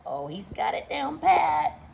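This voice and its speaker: A woman reads a children's picture book aloud at a slow, measured pace. Her voice is soft-edged and mellow, and she tells the story expressively, speaking directly to young listeners. There is faint noise in the recording. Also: high-pitched, creaky. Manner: expressive storytelling, pausing to ask listeners questions. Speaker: storybook reader.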